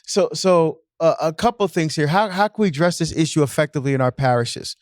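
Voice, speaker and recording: Priest guy chatting on a podcast, kinda high voice, repeats himself a lot, dry close sound. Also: animated, fast, no room sound. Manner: storytelling, frequent restarts and repeated words. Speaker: parish priest. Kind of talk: casual podcast discussion.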